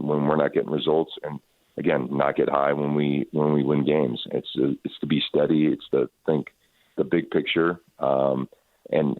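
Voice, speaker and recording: A man, a soccer coach, answering radio interview questions in a halting, thoughtful way, often repeating words as he works out his point. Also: very deep voice, no room sound. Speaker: professional soccer head coach. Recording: muffled narrowband phone line.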